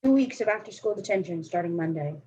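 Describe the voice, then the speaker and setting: A woman's voice: medium pitch, expressive, quick pace, mellow, slight background noise, lightly acted. A woman playing a sullen, dismissive schoolgirl with a curt manner in a staged play reading. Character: sullen, dismissive schoolgirl, loyal to one friend. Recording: dull, muffled stage recording.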